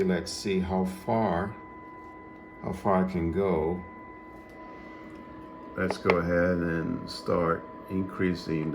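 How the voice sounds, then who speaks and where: A man giving an unscripted hands-on demonstration as a radio hobbyist, speaking in a halting, matter-of-fact way. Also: low voice, noisy background.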